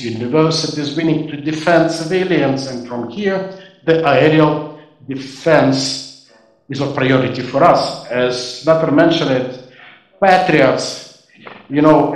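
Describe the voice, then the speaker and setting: A man giving formal, measured answers to audience questions at a university event, pausing between phrases. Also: fairly high pitch. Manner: measured, deliberate, with short pauses between phrases. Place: university auditorium. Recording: roomy hall, voice from a distance.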